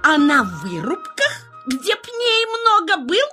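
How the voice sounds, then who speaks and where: A woman voicing a knowing, bossy motherly animal in a children's audio play, expressive and instructive. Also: extremely high pitch, slow, music in the background, full character voice. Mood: teasing, bossy.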